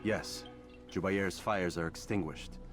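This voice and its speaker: A man's voice: low voice, steady tone, slow, music in the background. A man playing a stoic, resolute young assassin in a video game, speaking in a terse, grave, controlled way. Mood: grave, troubled. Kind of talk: video game cutscene acting.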